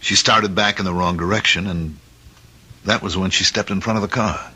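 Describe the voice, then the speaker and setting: An actor playing an earnest driver testifying in a radio drama, careful and explanatory. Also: male voice, low-pitched, fairly even intonation, normal speed, bright, forceful tone, breathy edge, full character voice. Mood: defensive. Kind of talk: old-time radio drama acting.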